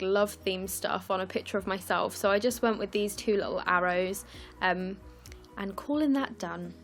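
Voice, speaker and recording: A young woman from the UK, croaky and scratchy, chatting through her scrapbooking as a casual voice-over, with lots of ums and apologies. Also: mid-range voice. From United Kingdom. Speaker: scrapbooking vlogger. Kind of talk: casual process video voice-over.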